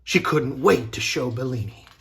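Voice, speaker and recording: A man reading a children's story aloud as a teacher, expressively and deliberately, with dramatic pauses. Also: mid-range voice, expressive, vocal fry, a bit bright. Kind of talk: expressive scripted storybook narration.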